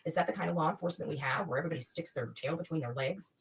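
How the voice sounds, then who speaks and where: Woman commentator, rapid delivery, husky, insistent, repetitive, informal opinion talk. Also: low-pitched. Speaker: online commentator.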